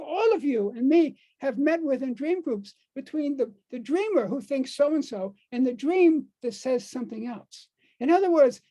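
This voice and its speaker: An older American man giving an informal talk to a group, speaking haltingly and repeating words and phrases for emphasis. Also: very high-pitched, mellow, rough recording. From United States. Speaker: speaker on dream work.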